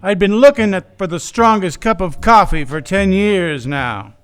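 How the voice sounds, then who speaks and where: A man acts as a hard-boiled detective in a noir parody on radio. His voice is high for a man and very clean, and he narrates slowly and wearily with soft music behind him. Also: animated, smooth. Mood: brooding. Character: hard-boiled male noir gumshoe, world-weary coffee seeker.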